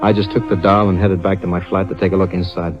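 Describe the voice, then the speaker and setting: A man's voice: deep voice, flat delivery, fast talker, lightly acted. An actor playing a wry, slangy, easygoing store detective who narrates his own story in 1950s radio drama style. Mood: wry narrating. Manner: slangy, wry tough-guy delivery. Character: wisecracking hardboiled store detective, male, easygoing. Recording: muffled vintage radio recording with music.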